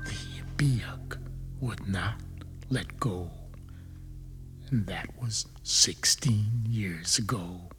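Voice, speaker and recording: A performer voicing a weary, limping parent from a storybook poem in a slow, hushed, ominous drawl. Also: low voice, faint music behind, voice pushed down, exaggerated voice.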